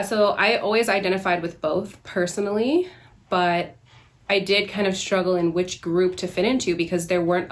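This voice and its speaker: A young woman from the Bahamas answering interview questions about herself in a conversational, reflective way. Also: on the low side. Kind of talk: candid personal interview.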